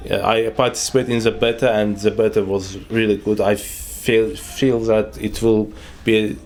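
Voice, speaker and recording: A man's voice: fairly low pitch, flat delivery, normal speed, darkish tone, slight background noise. Young man chatting casually. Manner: brief dry interjections. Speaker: channel co-founder. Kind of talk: casual chat with interjections.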